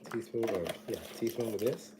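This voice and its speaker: A man in his thirties, slightly hoarse, chatting casually as a nutrition coach while cooking in his home kitchen, speaking into a phone at arm's length. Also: medium pitch, normal speed, heavy background noise. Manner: relaxed, chatty, advice-giving. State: slightly hoarse, recovering from illness. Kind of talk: casual live cooking chat. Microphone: phone camera at arm's length, earbuds.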